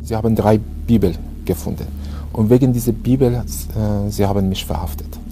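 A middle-aged man from Iran with an Iranian accent, giving a halting personal testimony full of hesitations. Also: lowish voice, fairly even intonation, dark tone, slight background noise. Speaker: interviewee.

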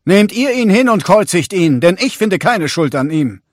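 A man's voice: high-pitched, big pitch swings, normal speed, no echo, studio quality, voice pushed up. A man reading a Bible passage and voicing Pilate as an uneasy, questioning Roman governor in a raised, pressing tone.

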